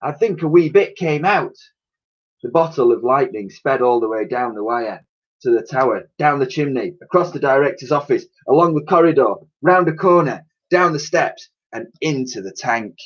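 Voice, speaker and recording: A man's voice: mid-range voice, moderate pace, highs cut off. A man reading a children's story aloud like a teacher, with theatrical, suspenseful narration and emphatic sound effects spoken by mouth.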